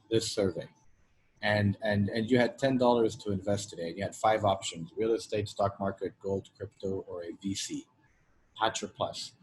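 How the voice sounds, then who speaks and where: A man's voice: fairly low pitch, darkish tone, a little hiss or hum, low-quality recording. A man hosting an interview, giving his own view and then putting long questions to his guest. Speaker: podcast host. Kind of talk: casual interview chat.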